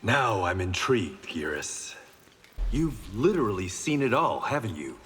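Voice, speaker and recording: Kenshi, a forceful, resolute blind swordsman. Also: fairly low pitch, big pitch swings, unhurried. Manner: confident, dry, determined. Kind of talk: fighting game character banter. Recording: long reverb, faint background noise.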